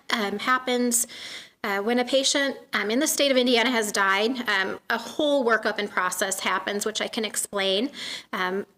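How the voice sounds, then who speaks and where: A soft-spoken woman in her thirties giving a presentation to a community meeting in a room, with frequent "um" fillers. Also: a little high. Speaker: guest speaker from a donation nonprofit.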